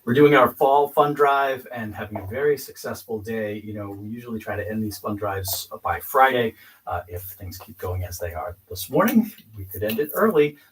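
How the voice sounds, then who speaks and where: A man's voice: lowish voice, normal speed, slight background noise, low-quality recording. A man in his fifties hosting a casual radio chat in a studio. He jokes and often restarts his words. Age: 50s. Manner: friendly, joking, stumbles and repeats words. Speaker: radio host.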